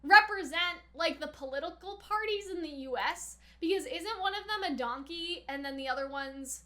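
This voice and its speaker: A woman's voice: extremely high pitch, lively intonation, moderate pace, sharp tone. A woman giving casual reaction commentary as a host, thinking aloud informally with lots of "like" and "right?". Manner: informal, speculative, frequent "like" and "right?".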